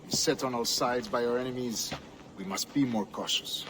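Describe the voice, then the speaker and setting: An actor playing an imperious older Spanish colonial governor in a game, formal and commanding, with a Spanish accent. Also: male voice, mid-range voice, moderate pace, fairly bright tone, a little hiss or hum, close to an everyday voice. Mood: measured authority. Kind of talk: video game cutscene acting. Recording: music behind the voice. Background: music.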